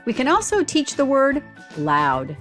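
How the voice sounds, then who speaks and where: A woman giving scripted, instructional picture-book narration in an expressive, child-directed storytelling manner. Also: high-pitched, unhurried, soft background music. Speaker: read-aloud presenter for language teaching.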